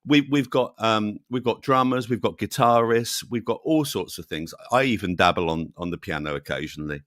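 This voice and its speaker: A man's voice: on the low side, brisk, a bit bright, dry recording. Male guest on a video call; rambling, fillers, playful, dry close sound. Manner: rambling, many fillers and restarts; jokey. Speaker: channel host, guest. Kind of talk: casual interview chat.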